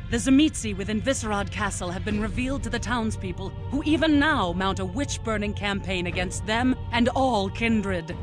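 An actress playing a stern, foreboding vampire elder in a video game, speaking formally and gravely in archaic phrases. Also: female voice, medium pitch, moderate pace, hardly any pauses, music in the background, close to an everyday voice. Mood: urgent.

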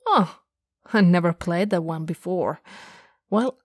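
A woman performs a goth older sister with a slightly low voice and an unhurried, gentle delivery. She is warm, nostalgic and teasing, speaking softly and intimately as in ASMR roleplay. The sound is close and dry. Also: breathy edge, no room sound. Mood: fond, teasing. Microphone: close studio microphone.

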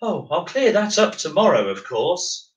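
A man's voice: sits high, very animated, distant mic, lo-fi sound, pitched above the performer's own voice. A man voicing a curious, mischievous small boy from a storybook, speaking in a hesitant, sheepish way. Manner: hesitant, halting.

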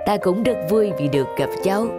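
A man voicing a kindly, patient old Santa Claus in a cartoon, gentle and reassuring. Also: sits high, lively intonation, a little fast, loud music behind the voice. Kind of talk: Vietnamese cartoon voice acting.

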